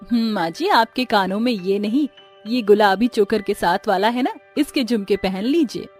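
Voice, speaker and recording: A woman voicing a dutiful, hurried young daughter-in-law in a cartoon family story, obliging in manner. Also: medium pitch, a little mellow, soft background music, lightly acted. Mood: obliging, harried.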